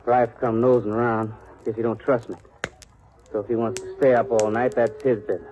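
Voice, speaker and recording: Guy doing touchy young cowhand, soft dark tone, mid pitch, cocky n sullen, radio western. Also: flat delivery, brisk, close to an everyday voice, rough recording. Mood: sarcastic. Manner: sullen, challenging. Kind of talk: 1950s radio western acting.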